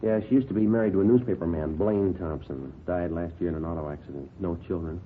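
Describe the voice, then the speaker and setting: A man playing a terse, deadpan police detective who narrates his case in clipped lines in a 1950s radio crime drama. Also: deep voice, fast talker, a little hiss or hum, lightly acted. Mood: matter-of-fact. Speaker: lead actor and narrator. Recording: dull vintage radio recording.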